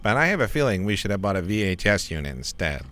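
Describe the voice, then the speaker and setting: A man's voice: fairly low pitch, sing-song, fast talker, fairly bright tone. A man playing a practical, sardonic chief engineer in a parody radio play. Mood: dry, sardonic.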